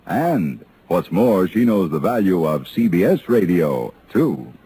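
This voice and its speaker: A man's voice: deep voice, very animated, unhurried, heavy background noise. A man announcing in scripted 1950s radio style, polished and declarative. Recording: old radio broadcast recording.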